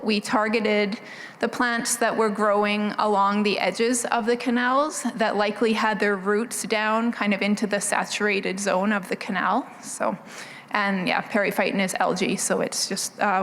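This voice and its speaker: Woman, 40s, quiet presenter voice, casual conference talk, mid-height pitch, reverberant hall sound. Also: slight background noise. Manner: soft-spoken, conversational, frequent ums and restarts. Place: conference meeting room, indoors. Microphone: podium mic on a stand.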